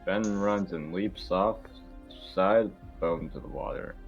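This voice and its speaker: Very deep-voiced man narrating. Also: unhurried, muffled recording, soft background music. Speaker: narrator in a table read. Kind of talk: reading stage directions aloud.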